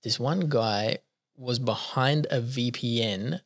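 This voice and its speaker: A man's voice: medium pitch, slow. A man from South Africa whose first language is Italian, chatting as a podcast guest in a casual, rambling way, often laughing at himself. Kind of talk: casual conversational storytelling.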